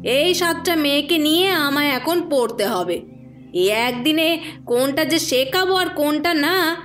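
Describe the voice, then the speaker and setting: A scheming, scolding matron in an audio horror drama. Her voice is very high and swings animatedly up and down, with a bossy, exasperated, theatrical edge. Faint music sits underneath. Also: lively intonation, measured pace, fairly bright tone. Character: scolding, scheming older matron of a girls' shelter.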